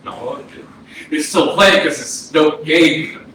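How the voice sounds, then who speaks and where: A man's voice: a little high, slow. A man acting a sardonic, slangy young dead brother in a staged play reading, recorded from a distance. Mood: teasing. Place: small arts-centre stage, distant mic. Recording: roomy echo, distant mic, noisy audio.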